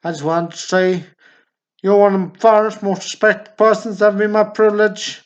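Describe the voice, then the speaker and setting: Man acting an excitable, high-strung guy, dull recording. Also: moderate pace, lightly acted. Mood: pleading, effusive. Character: excitable, needy small-town Texan man, boastful and sentimental. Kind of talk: stage drama acting.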